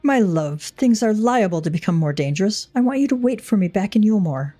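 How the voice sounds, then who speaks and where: A woman's voice: medium pitch, expressive, a little fast, warm tone, soft background music, lightly acted. A woman voicing an anxious, devoted inventor husband in a video game, speaking in a formal, wordy, self-doubting way. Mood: fearful.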